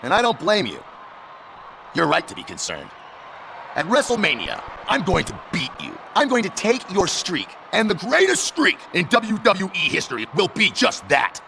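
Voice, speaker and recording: A man's voice: high voice, huge pitch swings, normal speed, vocal fry, bright, forceful tone, a little hiss or hum, heavily acted. A man playing a brash, emphatic young pro wrestler in a video game cutscene. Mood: defiant. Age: young adult.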